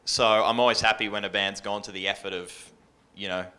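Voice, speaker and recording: A man's voice: on the low side, steady tone, fairly quick, pushed and bright. A man with an Australian accent giving casual, informal advice as a radio presenter on a workshop panel. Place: live panel workshop indoors.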